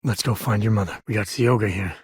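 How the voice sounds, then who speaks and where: A laid back frontier ranger dad in a space game, really husky, kinda wry and folksy, super dry studio sound. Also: male voice, on the low side, fast, darkish tone, no room sound. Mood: easygoing, wry. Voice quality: husky, raspy. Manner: folksy, wry, plain-spoken. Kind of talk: video game character dialogue. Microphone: close, dry studio mic.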